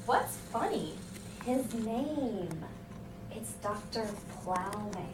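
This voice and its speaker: Casting: chatty, cheerful schoolgirl played by a woman for story reading; very expressive, slow pace, roomy noisy recording. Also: mid-range voice. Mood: playful, amused. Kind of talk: story reading with character dialogue. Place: hotel room recording session. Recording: echoey hotel room, distant mic, noisy.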